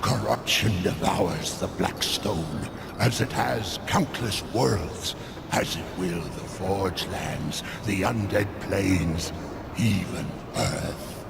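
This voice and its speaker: An actor playing a sly demon merchant in a game cutscene, speaking slowly and ominously, with loud music behind. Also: male voice, low voice, very noisy, full character voice. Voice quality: gravelly. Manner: slow, ominous and knowing. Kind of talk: video game cutscene acting.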